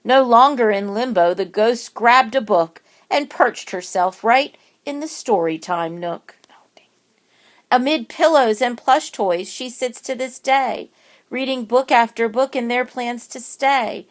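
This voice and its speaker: Slow-paced woman reading a rhyming storybook. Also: a little high. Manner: deliberate, dramatic word emphasis. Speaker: children's picture book reader. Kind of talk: expressive read-aloud of rhyming story.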